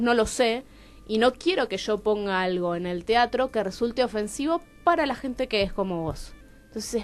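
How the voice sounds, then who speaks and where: A high-voiced woman with a Rioplatense Argentine accent tells stories in a conversational, talkative way. She is expressive and slips into quoted dialogue as she goes. Music plays faintly behind her. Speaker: playwright, radio show guest. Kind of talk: animated conversational storytelling.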